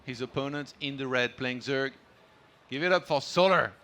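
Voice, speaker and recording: A man's voice: mid-range voice, normal speed, pushed and bright, slight background noise. A man commentating on an esports tournament in a casual, joking, self-deprecating way. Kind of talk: casual banter during StarCraft tournament commentary. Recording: music behind the voice. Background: music.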